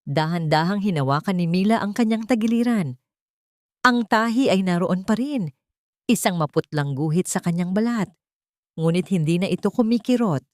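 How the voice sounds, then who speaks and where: A woman with a Filipino accent narrating a scripted dramatic story in a measured, sentimental, literary way. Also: mid-range voice, animated, dry recording, studio quality.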